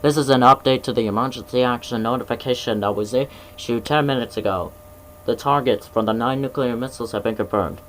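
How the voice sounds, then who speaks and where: A man playing a grave emergency alert announcer, reading scripted warnings in a formal, measured way over a noisy broadcast. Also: mid-range voice, fairly bright tone, lightly acted. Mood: solemn, instructive.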